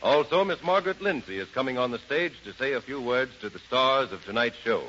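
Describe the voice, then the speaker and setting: A man's voice: medium pitch, expressive, fairly quick, a bit bright. An American man hosting a 1930s radio show in a formal, courtly announcing style. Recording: dull, noisy 1930s radio recording.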